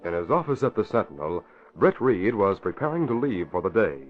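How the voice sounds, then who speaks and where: A man's voice: lowish voice, sing-song, a little fast, faint music behind. A man narrating an old-time radio drama in a solemn, suspenseful style. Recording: dull vintage radio recording.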